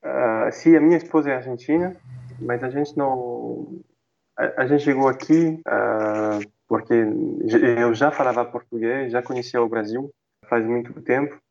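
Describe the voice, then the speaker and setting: A man's voice: mid-range voice, unhurried, vocal fry, a little mellow, muffled recording. A French man speaking Portuguese as a second language, a guest chatting in an interview, hesitant with many restarts and fillers. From France. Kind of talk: casual interview chat.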